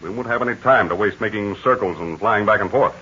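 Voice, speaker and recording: Heroic aviator, husky bright voice, steady and commanding, lo-fi 1940s radio. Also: male voice, fairly low pitch, quick pace. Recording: dull lo-fi 1940 radio recording.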